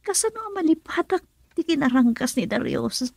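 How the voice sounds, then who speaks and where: A woman's voice: sits high, very animated, moderate pace, gravelly, darkish tone, dry recording, exaggerated voice. A woman playing an earnest, wounded young woman full of remorse, in radio drama style with a Filipino accent. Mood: anguished.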